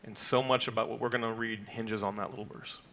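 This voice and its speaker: A man's voice: on the low side, steady tone, quick pace, bright, forceful tone, slight background noise. A man in his thirties teaching a weekly Torah portion to a congregation from a lectern, explaining terms patiently with frequent fillers and "okay?" check-ins. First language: not Hebrew. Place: sanctuary, mid-size indoor hall.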